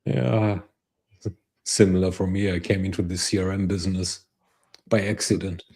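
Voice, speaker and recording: A man's voice: deep voice, steady tone, normal speed, a little mellow, breathy edge. A man co-hosting a talk show, musing as he asks a question and chuckling between his phrases. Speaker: co-host. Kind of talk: casual video-call interview.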